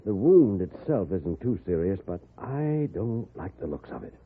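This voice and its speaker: An actor playing a grave, matter-of-fact frontier doctor, measured and clinical, in an old radio drama. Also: male voice, deep voice, big pitch swings, slight background noise. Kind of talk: 1950s radio western acting. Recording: muffled old radio recording.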